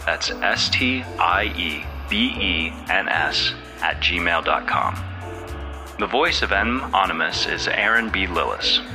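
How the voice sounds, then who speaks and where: Deep-voiced male announcer over music. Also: animated, slow. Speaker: podcast credits announcer. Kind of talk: scripted credits read.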